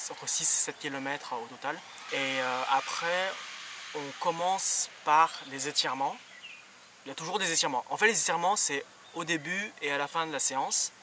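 A young man giving a casual vlog explanation, haltingly and searching for words. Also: fairly high pitch, sharp tone, far from the mic, slight background noise. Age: young adult. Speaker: vlogger.

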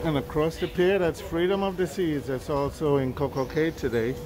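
A man narrating a casual walkthrough of a cruise ship buffet on his phone camera, relaxed, with frequent ums and uhs. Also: on the high side, moderate pace, noisy background. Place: large indoor ship buffet hall. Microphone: handheld phone camera.

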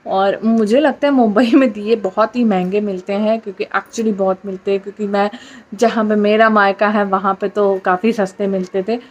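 A woman's voice: mid-range voice, a little mellow, low-quality recording. A woman from India chats casually to her viewers as a vlogger, mixing English words into her talk.

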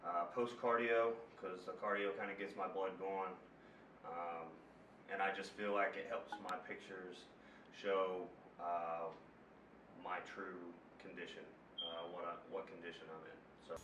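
An American man in his thirties vlogging casually indoors, pausing mid-sentence as he talks into a camera mic. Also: deep voice, flat delivery. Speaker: bodybuilder vlogger. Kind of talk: casual vlog talk to camera. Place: indoors, gym and home rooms. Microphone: camera mic at some distance. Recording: roomy, distant camera mic, clear background noise.

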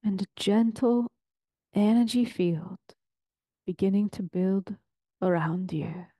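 A woman leading a guided meditation in a soothing, deliberate, ceremonial way, with long pauses between phrases. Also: mid-range voice, mellow, dry recording, clean studio sound.

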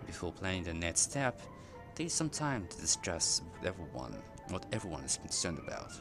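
A man's voice: low voice, big pitch swings, normal speed. A man who streams games, giving casual live commentary and reading the on-screen text aloud. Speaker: game streamer. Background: loud game music.

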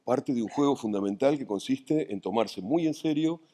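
A middle-aged Argentine man on a theater stage, speaking dryly and haltingly in an onstage conversation. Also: mid-range voice, lively intonation, dark tone. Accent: Argentine Spanish. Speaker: festival panelist, writer. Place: dark theater stage, seated in armchairs.

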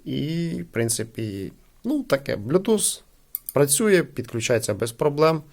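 A man's voice: medium pitch, sing-song, measured pace. A man giving casual, conversational unboxing commentary as a host, hesitating and leaning on filler phrases. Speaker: product unboxing host and reviewer.

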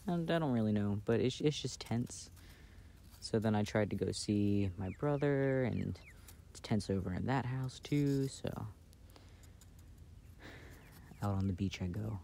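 A man playing an affectionate, playful boyfriend in a casual, intimate ASMR roleplay, speaking softly and hesitantly, recorded outdoors. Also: fairly low pitch, moderate pace, vocal fry. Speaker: amateur audio roleplay performer. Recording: outdoor ambient noise.

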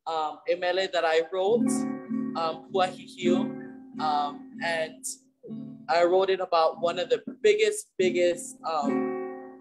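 A young man in his late teens introducing himself on a video call, hesitant, with many "um" pauses. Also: high-pitched, steady tone, over music, poor audio. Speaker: student sharing a song. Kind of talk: shy spoken introduction. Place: indoors under an open wooden pavilion. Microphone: laptop webcam on a video call.

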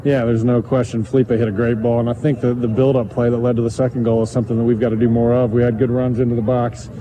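A man's voice: medium pitch, flat delivery, fast talker, a crowd in the background, poor audio. A middle-aged man, a soccer coach, giving a matter-of-fact, analytical post-game interview into a handheld microphone outdoors. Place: outdoors on a soccer field at night. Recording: clear outdoor field noise.

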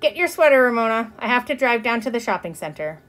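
A woman's voice: on the high side, very animated, fast talker, fairly bright tone. Woman acting an exasperated, firm mother. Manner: firm, scolding, long-suffering. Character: exasperated, weary mother of a willful small girl.

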